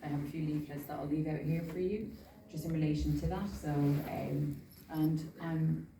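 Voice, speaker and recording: Low, flat-voiced woman police officer. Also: creaky, slight background noise. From Albania. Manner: friendly, halting, many fillers. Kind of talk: informal talk at community event. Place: large indoor hall. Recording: echoey hall from far off.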